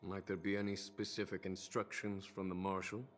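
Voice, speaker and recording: A composed, shrewd general from a video game, acted by a man. He speaks fairly low, with courtly formality, over faint background ambience. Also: little pitch movement, close to an everyday voice. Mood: polite, probing. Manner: formal, measured. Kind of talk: video game character dialogue. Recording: faint ambient noise behind.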